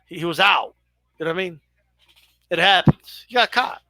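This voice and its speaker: High, bright-voiced man on a stream. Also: moderate pace, creaky, no room sound. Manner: profane, chatty, banters with viewers. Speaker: boxing live-stream host. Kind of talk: casual live commentary to chat.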